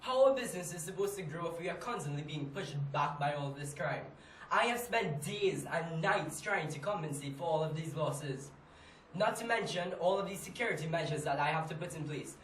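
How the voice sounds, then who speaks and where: A man acts out a weary, exasperated businessman in an amateur stage monologue. His Trinidadian voice sits a little high and emphatic. It is heard at a distance in an echoey room. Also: expressive, normal speed, a bit bright. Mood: exasperated, fed up. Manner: emphatic, exasperated, with rhetorical questions. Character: frustrated, weary businessman fed up with robberies. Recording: echoey room, distant mic, faint noise.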